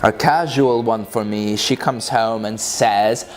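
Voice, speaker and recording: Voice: mid-range voice, slow pace. A mischievous narrator of unclear sex giving a theatrical, gleeful dramatic reading of comic verse. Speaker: author and reader.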